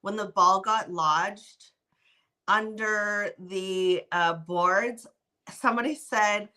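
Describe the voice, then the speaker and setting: Bright-voiced woman in her forties. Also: mid-range voice, slow pace. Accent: slight Texan. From Canada. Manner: bubbly, animated storytelling. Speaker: podcast guest. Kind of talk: casual interview chat. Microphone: tablet mic on a video call.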